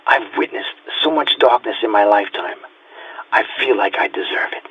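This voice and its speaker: A man's voice: lowish voice, moderate pace, husky, dull audio. A man playing a weary, haunted police detective nearing retirement, speaking a reflective, confiding journal entry in an audio drama.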